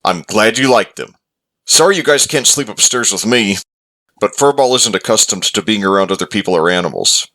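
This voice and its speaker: A man's voice: lowish voice, animated, a little fast. A man playing a kindly pet owner in a visual novel, speaking affectionately to his dogs. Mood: gentle. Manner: affectionate, gentle wording. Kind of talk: character acting in a visual novel.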